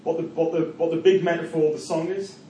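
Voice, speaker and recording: A middle-aged man with a Geordie accent lectures to a class, his voice dark and mellow. He stumbles and repeats words as he thinks aloud. The mic sits far off in an echoey room with faint noise. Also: a little high, fairly even intonation, quick pace. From Newcastle, England. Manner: hesitant, repeats words, many fillers. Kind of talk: university lecture with class discussion.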